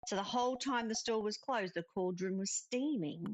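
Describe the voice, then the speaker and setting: A woman's voice: mid-range voice, moderate pace, slight background noise, close to an everyday voice. A woman voicing a kindly spirit witch mentor in a cozy visual novel, patiently explaining and instructing. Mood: instructive. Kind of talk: cozy visual novel dialogue read aloud.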